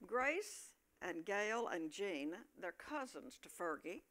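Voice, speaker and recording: A woman's voice: lowish voice, big pitch swings, slow pace, a bit bright. A woman narrator reading scripted storytelling for an amateur staged play.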